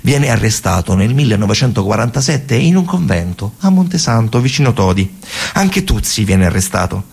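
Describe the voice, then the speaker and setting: A man with a central Italian accent from Lazio performing a dramatic theatre monologue as a storyteller, in a husky, gravelly voice, colloquial and repeating phrases for emphasis. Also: on the low side, big pitch swings, normal speed, a little mellow. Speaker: stage storyteller, actor.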